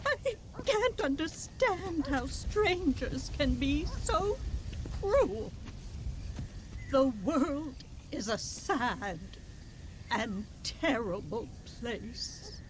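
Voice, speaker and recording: An actress playing a genteel, self-pitying frontier widow in a Western video game, lamenting melodramatically. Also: female voice, high voice, very animated, very slow, a little hiss or hum, exaggerated voice. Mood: bitter, sorrowful. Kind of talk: video game cutscene acting.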